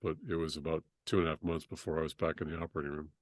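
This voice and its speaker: A middle-aged man, a surgeon guest, telling his story in a halting, conversational way with many restarts. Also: little pitch movement, dry recording. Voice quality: deep voice.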